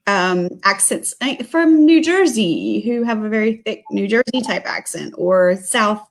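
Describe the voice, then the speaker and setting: A woman's voice: medium pitch, a little slow. A woman from South Georgia hosting a casual livestream interview, chatty and friendly, with frequent "um"s and "yeah"s.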